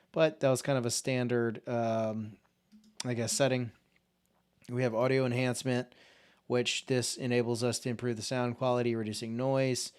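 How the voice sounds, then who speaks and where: A man hosting a casual software tutorial, talking in a relaxed, informal way and thinking aloud as he clicks through settings. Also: medium pitch, clean tone. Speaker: tech tutorial host. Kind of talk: casual screen-share software walkthrough.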